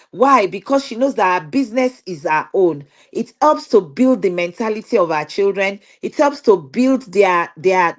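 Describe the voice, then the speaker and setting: A middle-aged woman with a Nigerian accent speaks in a medium-pitched voice. She addresses online listeners in an earnest testimonial style, circling back and repeating phrases to stress her point. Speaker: guest speaker, digital business owner. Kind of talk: personal testimonial talk to an online audience.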